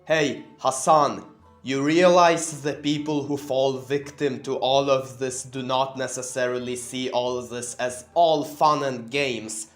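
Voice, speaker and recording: A man's voice: mid-range voice, steady tone, unhurried. A man hosting a commentary channel, narrating in a sarcastic, scornful way. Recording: roomy room, faint music behind.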